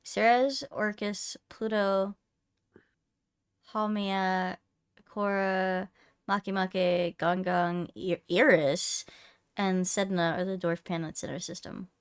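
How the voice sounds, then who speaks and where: A woman's voice: mid-range voice, steady tone, slow pace, clear, resonant tone. A woman streamer in casual, playful banter with a friend on a livestream.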